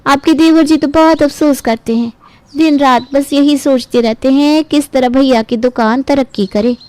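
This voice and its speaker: A woman voicing a flattering, falsely remorseful younger sister-in-law in a family cartoon story, pleading and ingratiating. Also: sits high, moderate pace, dry recording, natural voice.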